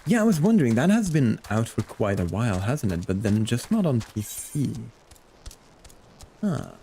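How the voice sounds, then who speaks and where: A man's voice: mid-range voice, big pitch swings, normal speed, warm tone, a little hiss or hum. A man chatting casually and playfully with viewers as he plays and comments on a game on a live stream.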